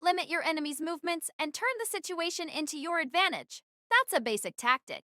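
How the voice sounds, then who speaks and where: A woman's voice: very high-pitched, expressive, fairly quick, bright, forceful tone, dry recording, studio quality, exaggerated voice. A woman voicing a young female squad captain who gives firm, decisive orders in an audio drama. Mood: commanding. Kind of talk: fan audio drama acting.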